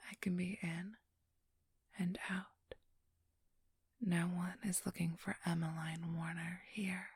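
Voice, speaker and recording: Slow, whispering, smug vampire thief played by a woman. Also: on the low side, flat delivery, no echo, clean studio sound, heavily acted. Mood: sly, boastful. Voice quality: breathy, husky whisper. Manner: condescending, teasing, drawn-out delivery. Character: ageless female vampire thief, smug, teasing, haughty. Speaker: ASMR role-play performer. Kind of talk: ASMR role-play, whispered. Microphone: close, dry microphone.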